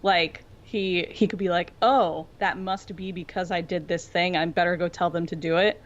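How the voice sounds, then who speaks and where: A woman's voice: fairly low pitch, steady tone, normal speed, muffled recording. A woman co-hosting a casual fan discussion, talking in a rambling, tangent-prone way peppered with "like". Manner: rambling, tangential, filler-heavy with frequent "like". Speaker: podcast co-host.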